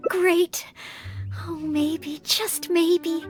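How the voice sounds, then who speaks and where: An actress playing a timid, polite young maid knight in a video game, speaking earnestly and hesitantly, eager to help. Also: female voice, extremely high pitch, flat delivery, drawn-out words, faint music behind, exaggerated voice. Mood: soft, grateful. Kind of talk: video game character acting.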